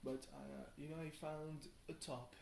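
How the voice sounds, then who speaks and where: A tired young man rambles casually through a video diary, halting and thinking aloud, often questioning himself. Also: a little high, flat delivery, vocal fry, darkish tone. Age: young adult. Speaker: video blogger. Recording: roomy echo, faint background noise.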